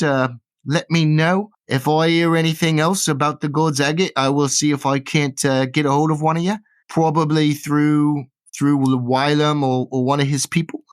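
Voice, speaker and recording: A man's voice: fairly high pitch, steady tone, moderate pace, smooth, dry recording, close to an everyday voice. A man plays a stage actress from a fantasy tabletop game, motherly and flirty. She gushes with praise and calls everyone love, in a close, dry sound. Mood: warm, grateful. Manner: gushing, calls people love. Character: warm, flirty, motherly theater actress Sheeny.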